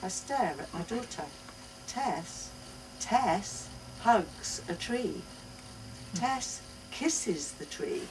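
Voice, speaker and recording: A woman giving an expressive live reading of a first-person short story. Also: on the high side. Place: indoors at a bookshop book launch. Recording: distant mic, noisy live bookshop event.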